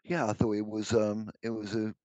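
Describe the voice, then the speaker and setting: An older man with a deep baritone voice, answering interview questions about his songs, hesitant with many restarts. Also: completely flat delivery, moderate pace, darkish tone, no echo. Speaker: musician guest.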